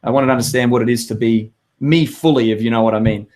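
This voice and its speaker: An Australian man in his thirties with a hoarse voice, hosting a live web show and chatting with viewers in an upbeat, rambling way. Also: medium pitch, fairly even intonation, fast talker, a little mellow. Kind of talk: live webinar chat to viewers.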